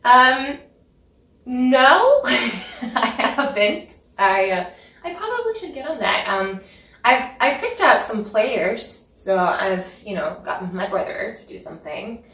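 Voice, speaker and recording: A woman's voice: on the high side, big pitch swings, takes it slowly, a bit bright. A woman in her thirties from Norway, a pianist chatting in an interview at home, giggling and filling gaps with ums. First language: Norwegian. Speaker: interview guest, concert pianist. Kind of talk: casual interview chat. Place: home living room with piano, indoors. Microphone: far camera mic. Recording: echoey room, mic at a distance.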